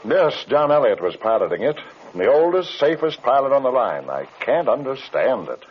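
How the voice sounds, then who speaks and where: A man playing an anxious, flustered airline executive in an old-time radio drama, with hesitant, halting businesslike speech and a husky voice. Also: medium pitch, sing-song. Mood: uneasy. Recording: muffled old radio recording.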